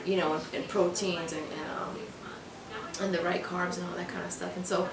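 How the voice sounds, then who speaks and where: A woman's voice: lowish voice, level tone, normal speed, vocal fry, crowd noise. A young woman vlogging casually about meal prep in her home kitchen, chatting with lots of fillers and restarts. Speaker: fitness vlogger. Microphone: camera on counter a few feet away. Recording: roomy, distant, noisy camera audio.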